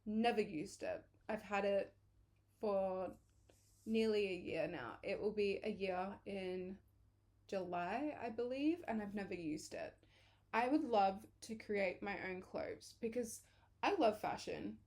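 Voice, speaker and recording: Hoarse young woman chatting. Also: medium pitch, a little slow, a little mellow. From England. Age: early 20s. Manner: chatty, rambling, corrects herself. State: hoarse, losing her voice. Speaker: vlogger. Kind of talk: casual chat to camera.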